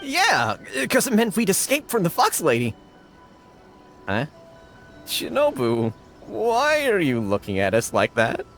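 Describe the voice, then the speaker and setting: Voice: mid-range voice, huge pitch swings, a little slow. An actor playing a nervous, whiny, cowardly gang underling in a video game. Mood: whiny, uneasy. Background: music.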